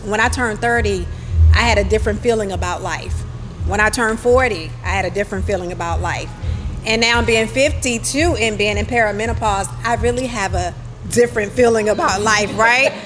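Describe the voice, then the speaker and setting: A woman's voice: mid-range voice, a little fast, a bit bright, in a large hall, soft background music. A woman, talking candidly on a panel and often checking in with the audience. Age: 50s. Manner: candid, conversational, uses tag questions. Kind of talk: panel talk.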